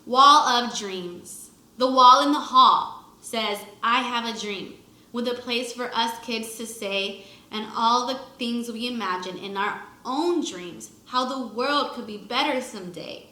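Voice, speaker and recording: A woman's voice: on the high side, measured pace, bright, forceful tone, reverberant, poor audio. A woman teacher reading a children's book aloud expressively to young pupils, acting out the lines and adding short explanations. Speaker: first grade teacher.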